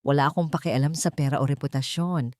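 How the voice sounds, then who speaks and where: A woman's voice: deep voice, fairly even intonation, fast, darkish tone, no room sound, clean studio sound, voice pushed down, close to an everyday voice. A woman voicing an angry, protective grown son, emphatic and commanding, in a dramatic audio story. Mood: firm, cold. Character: wronged billionaire son, protective, firm, angry adult man.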